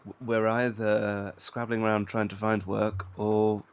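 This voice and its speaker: A man's voice: on the low side, flat delivery, a little slow, clear, resonant tone, dull audio. A man talking casually as an interview guest and voice actor, with a dry, wry manner.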